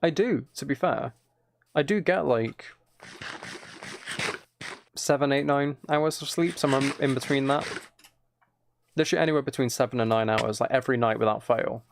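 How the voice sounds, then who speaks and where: A very tired man chatting casually and quietly on a game livestream, rambling and slightly mumbled in a soft ASMR manner. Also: medium pitch, normal speed, creaky, long pauses, slight background noise. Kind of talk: casual gaming stream chat, ASMR.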